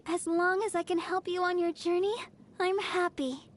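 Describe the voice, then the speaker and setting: A woman's voice: extremely high pitch, little pitch movement, moderate pace, slight background noise. A woman voicing a cheerful, devoted young girl idol healer in a video game, sweet, earnest and bubbly. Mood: heartfelt. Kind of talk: video game character voice lines.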